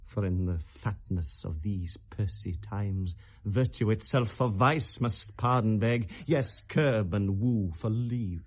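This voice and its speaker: An actor playing an anguished, accusing young prince in 1970s British classical radio drama, declaiming verse in a Received Pronunciation accent with feverish intensity. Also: male voice, on the low side, slow pace. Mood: urgent, accusing. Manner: declamatory, impassioned, theatrical.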